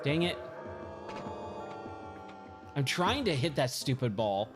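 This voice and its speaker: Slow-talking hoarse man streaming pinball. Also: medium pitch, sing-song. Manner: easily distracted, thinks aloud mid-sentence. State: hoarse, scratchy voice. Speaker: game streamer. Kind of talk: casual chat while playing pinball. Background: game music.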